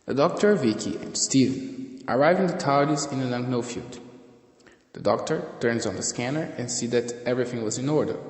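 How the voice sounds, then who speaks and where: A man's voice: medium pitch, normal speed. A man narrating a scripted audio drama story. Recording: echoey room with long reverb.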